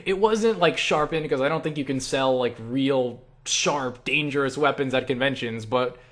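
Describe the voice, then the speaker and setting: Young man, medium pitch, casual rambling delivery, self-deprecating, online creator Q&A. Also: moderate pace. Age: young adult. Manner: self-deprecating, animated asides with acted-out quotes. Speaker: online creator answering viewer questions.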